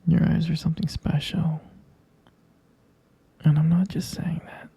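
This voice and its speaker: ASMR roleplay boyfriend, male actor: tender and devoted, hushed and intimate, gravelly fry, unhurried delivery. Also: on the high side, flat delivery, no room sound, full character voice. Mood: tender, caring. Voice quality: breathy, husky whisper. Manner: slow, doting, lingering over words. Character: tender, devoted boyfriend comforting his pregnant partner.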